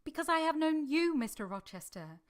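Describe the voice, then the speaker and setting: A woman's voice: high-pitched, very animated, brisk. A woman playing an earnest, humble but passionate young governess in formal literary language, in a vintage-style radio drama. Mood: anguished, passionate.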